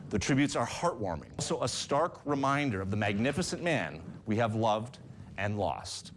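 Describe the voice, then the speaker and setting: A man's voice: mid-range voice, sing-song, normal speed. A man working as a news anchor, reading a written tribute aloud. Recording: hiss and ambient room noise.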